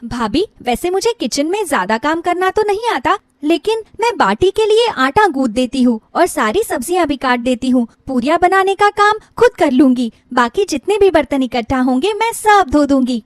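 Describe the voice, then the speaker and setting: Young sister-in-law for a moral story played by a woman: high, lively, chatty, affectionate and playful. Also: normal speed, few pauses, no room sound. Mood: helpful, eager. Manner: eager, playful, talkative. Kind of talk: animated Hindi moral-story character voice.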